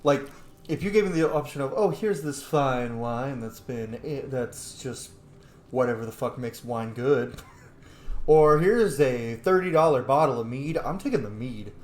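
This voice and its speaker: A man's voice: mid-range voice, expressive, measured pace. A man giving casual, slangy, joking and sweary commentary as he roleplays through a video game. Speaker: gaming streamer. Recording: roomy echo, faint background noise.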